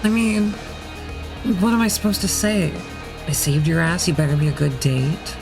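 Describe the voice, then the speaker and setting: A woman's voice: sits low, animated, normal speed, vocal fry, over music. An actress playing a reserved, awkward young female student in an audio drama, speaking hesitantly. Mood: hesitant, resigned. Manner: hesitant, shy, understated.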